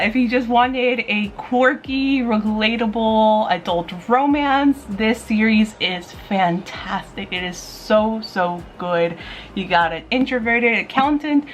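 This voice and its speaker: High-voiced woman chatting casually, noisy background. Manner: enthusiastic, informal, gushing. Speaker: manga reviewer and video host. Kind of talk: casual chatty recommendation vlog.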